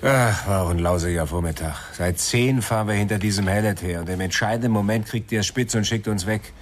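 Man playing a deep-voiced, easygoing police sergeant. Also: a little fast, slight background noise, natural voice. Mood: understanding. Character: calm, understanding male police sergeant. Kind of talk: German radio crime drama acting.

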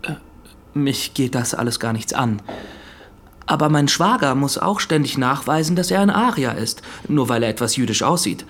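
An actor playing a petty waiter in a radio drama, polite, matter-of-fact and callously indifferent. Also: male voice, mid-range voice, lively intonation, normal speed, a bit bright. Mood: officious.

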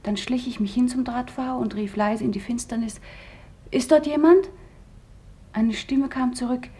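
A woman's voice: on the high side, normal speed, mellow, close to an everyday voice. A woman playing a grieving survivor who gives earnest, emotionally intense testimony in a radio drama. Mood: sorrowful recollection. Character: grieving woman survivor recalling a lost friend.

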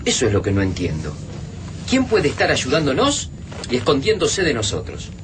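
An actor playing an analytical, wary but decisive resistance fighter in a radio drama, with an Argentine Rioplatense accent. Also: male voice, mid-range voice, huge pitch swings, normal speed. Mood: puzzled, wary. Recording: clearly noisy, sound-effect ambience.

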